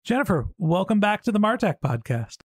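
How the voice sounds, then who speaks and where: A man's voice: high voice, sing-song, fairly quick, husky, no room sound. A middle-aged man hosting a podcast interview in a chatty, enthusiastic, conversational way. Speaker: podcast host.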